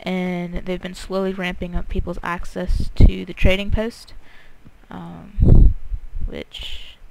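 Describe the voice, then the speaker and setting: A woman's voice: on the low side, level tone, slow pace, vocal fry. A woman giving casual game playthrough commentary, hesitant and muttering to herself, recorded too close to the mic. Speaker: gaming video maker. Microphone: too close to the mouth. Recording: very noisy close mic, breath pops.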